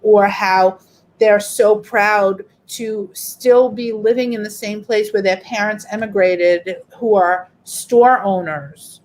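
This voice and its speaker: A woman's voice: mid-range voice, poor audio. A middle-aged woman teacher, walking a group through an online workshop in a chatty, explanatory way. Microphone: video call.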